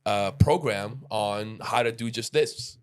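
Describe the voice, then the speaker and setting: A man's voice: fairly low pitch, slow, smooth. A man in his late thirties hosting a podcast, chatting casually and rambling with restarts and asides. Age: 30s. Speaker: podcast host. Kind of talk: casual interview chat.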